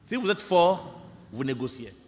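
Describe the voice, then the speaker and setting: A man's voice: on the high side, very animated, normal speed, a little mellow. A man with a Congolese accent gives an impassioned, didactic public lecture, exhorting his listeners and putting rhetorical questions to them. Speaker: speaker addressing an audience of young people and students. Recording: echoey hall with long reverb.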